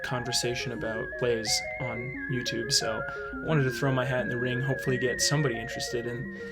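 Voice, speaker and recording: A man's voice: mid-range voice, little pitch movement, brisk, loud music behind the voice. A man hosting a video, giving earnest, casual, conversational commentary. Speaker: host of a play-ranking video.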